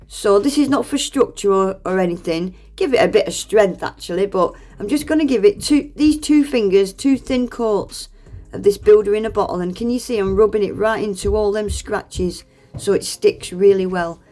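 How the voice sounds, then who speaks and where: A woman's voice: mid-range voice, moderate pace, dark tone. Chatty woman with a stuffy nose. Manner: chatty, self-deprecating, laughs often. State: congested with a cold. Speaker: nail art vlogger. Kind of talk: voice-over tutorial narration.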